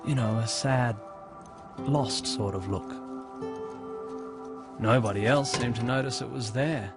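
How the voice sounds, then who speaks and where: A man narrating an animated short film as a laid-back young man, recalling the past in an understated, conversational, wry way. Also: mid-range voice, takes it slowly, over music.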